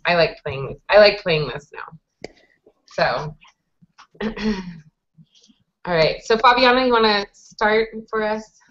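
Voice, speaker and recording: A woman's voice: medium pitch, takes it slowly, long pauses, fairly bright tone. A woman in her thirties runs an online group lesson, walking learners through a word game in a friendly, patient way. Her voice sits mid-range and comes through a webcam in a small room, muffled by lo-fi call audio. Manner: friendly, patient, explains rules step by step. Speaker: English conversation teacher. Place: small indoor room with whiteboard. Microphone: webcam video call. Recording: dull lo-fi video call audio.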